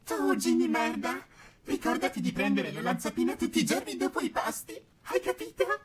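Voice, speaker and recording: A woman's voice: very high-pitched, very animated, moderate pace. A woman playing a furious, vulgar scolding mother in an amateur parody. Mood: stern. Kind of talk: amateur film parody, shouted rant.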